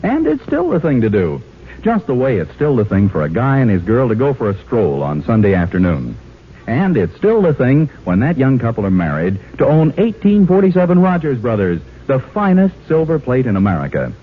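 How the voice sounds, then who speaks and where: An actor playing a folksy, confident commercial announcer in 1940s radio advertising style. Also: male voice, medium pitch, wildly swooping pitch, a little fast, husky. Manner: folksy, emphatic salesmanship. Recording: tinny, noisy vintage radio recording.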